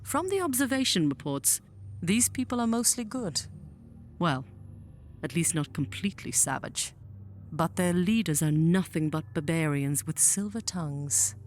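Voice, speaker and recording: A woman playing a commanding alien general in a scripted audio drama, speaking in a formal, measured, imperious manner. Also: fairly low pitch, expressive, a little hiss or hum. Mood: smug, dry. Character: commanding alien female general, proud, coldly persuasive mother.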